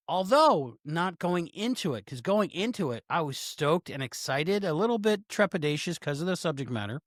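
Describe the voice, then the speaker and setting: A man with a slightly raised pitch talks casually on a podcast. He stumbles and repeats words and states his opinions firmly, and he sounds dry and close to the mic. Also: fairly bright tone, no room sound. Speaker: podcast co-host.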